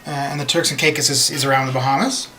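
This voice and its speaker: Game streamer in their thirties from northern Canada, mid-pitched, chatty and meandering, quiet music underneath. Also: steady tone, fast. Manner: rambling, hesitant, many fillers, chuckles often. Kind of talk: casual live-stream chat with viewers. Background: faint background music.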